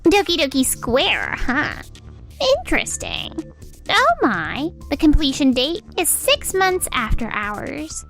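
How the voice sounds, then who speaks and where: A woman's voice: high-pitched, huge pitch swings, slow, creaky, fairly bright tone, soft background music, full character voice. A woman voicing a haughty, competitive young company heiress in a manga dub, with a brash, smug, theatrical manner. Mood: smug, flustered. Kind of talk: anime-style manga dub acting.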